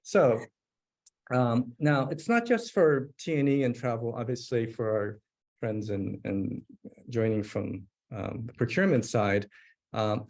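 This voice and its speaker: A man's voice: mid-range voice, a little mellow. A man presenting a live webinar demo, thinking aloud as he types, with frequent fillers and restarts. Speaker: presenter demonstrating software.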